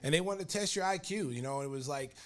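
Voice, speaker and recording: A man's voice: a little high, quick pace. An older man from Oklahoma, a podcast host and former basketball player, telling sports stories in casual talk full of fillers. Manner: animated, laughing storyteller with many fillers.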